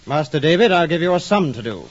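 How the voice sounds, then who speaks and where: A man's voice: fairly high pitch, sing-song, fast. An actor playing a cold, bullying stepfather in a 1950s radio drama. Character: stern tyrannical stepfather. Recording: dull lo-fi vintage radio recording.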